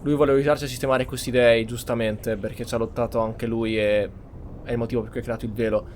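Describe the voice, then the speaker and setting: A man's voice: medium pitch, flat delivery, rapid-fire, darkish tone, slight background noise. An Italian man giving casual playthrough commentary as a gaming streamer. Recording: game music behind the commentary.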